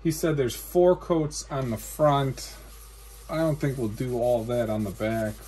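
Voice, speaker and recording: A man in his forties, a guitar builder, calmly explaining each step of his work in a matter-of-fact how-to narration in his workshop. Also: medium pitch, unhurried, a little hiss or hum. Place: cluttered workshop indoors.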